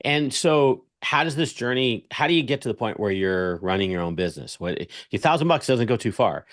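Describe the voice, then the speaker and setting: A man's voice: mid-range voice, expressive, fairly quick, no room sound, studio quality. A man hosting a podcast, asking long, upbeat questions. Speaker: podcast host. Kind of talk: podcast interview.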